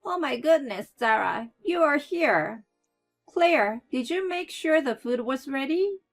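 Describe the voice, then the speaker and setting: A nasty older mother-in-law shrieks high and loud, snapping out insults, as a woman narrator puts her on. Her voice climbs well above the teller's own and stays harsh and scolding. Faint music sits under the storybook reading. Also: lively intonation, takes it slowly, exaggerated voice.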